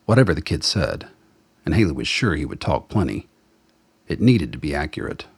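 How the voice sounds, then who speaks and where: A man reading scripted dramatic narration as the narrator of a radio play, with a husky voice. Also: rumbling low, sing-song, moderate pace, no room sound.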